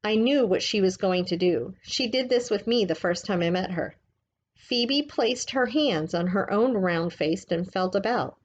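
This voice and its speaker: A woman reading a book aloud like a teacher, in a gentle, measured, explanatory way. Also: mid-range voice, lively intonation, lo-fi sound.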